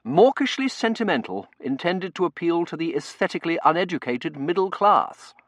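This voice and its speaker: A man voicing an impassive, blunt manservant in an audiobook, formal, deliberate and coolly disdainful. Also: on the high side, very animated, fairly bright tone, no room sound, voice pushed up. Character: impassive, blunt, deferential manservant with educated contempt. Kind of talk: audiobook character voice.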